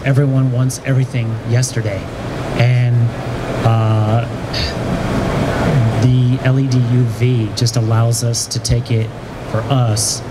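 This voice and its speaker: A young man explaining a process conversationally as a panelist, into a handheld microphone in a large exhibition hall. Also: medium pitch. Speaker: panelist, print business owner. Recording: noisy trade show floor, ventilation hum.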